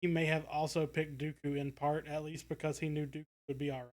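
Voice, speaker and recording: A man's voice: a little high, little pitch movement, fast, darkish tone. A man co-hosting a casual discussion podcast, speaking hesitantly as he thinks his points through aloud. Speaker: podcast co-host.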